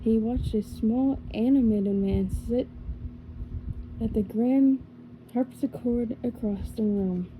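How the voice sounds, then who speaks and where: Female ASMR reader, unhurried and soft, dark warm tone, small self-corrections. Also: a little high, slight background noise, poor audio. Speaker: ASMR storyteller. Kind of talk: soft ASMR story reading.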